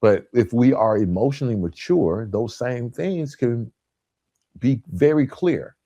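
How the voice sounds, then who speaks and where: A man hosting a podcast in a motivational coaching style, emphatic and deliberate, repeating key points and dropping in colloquial asides. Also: medium pitch, expressive, dark tone, dry recording. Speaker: podcast host. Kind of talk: motivational relationship coaching talk.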